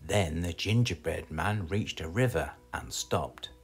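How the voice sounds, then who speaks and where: Low, animated British male storyteller. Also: measured pace, slightly rough, soft background music. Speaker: storybook reader. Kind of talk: expressive scripted children's storytelling.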